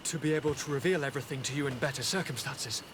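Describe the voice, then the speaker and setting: A man's voice: mid-range voice, racing, a bit bright, natural voice. A man playing a tormented young nobleman in a video game, theatrical and earnest. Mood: startled, conflicted. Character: tormented young nobleman turned monstrous, fervent. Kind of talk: video game cutscene acting. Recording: clear background noise.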